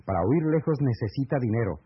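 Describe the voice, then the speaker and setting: An actor with a Mexican accent playing an earnest, courteous man in a radio serial, speaking formally and deliberately. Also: medium pitch, lively intonation, slight background noise, lightly acted. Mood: urgent. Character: earnest, courteous man patiently breaking grave news. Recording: muffled, lo-fi old AM radio recording.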